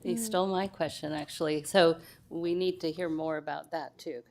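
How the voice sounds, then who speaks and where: A woman chairing a city council meeting, brisk and businesslike. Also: low-pitched, steady tone, darkish tone. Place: council chamber.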